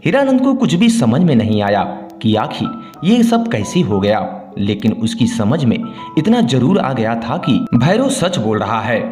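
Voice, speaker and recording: Man, storyteller, lively swooping intonation, scripted narration, echoey sound with music underneath. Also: medium pitch, measured pace, few pauses. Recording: roomy echo, music underneath. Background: background music.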